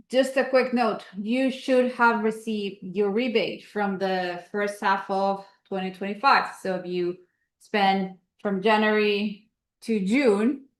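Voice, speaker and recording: A woman's voice: mid-range voice, reverberant. A woman with a Colombian accent hosting announcements at an online meeting, talking casually with many fillers and an upbeat promoting tone. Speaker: investor association host.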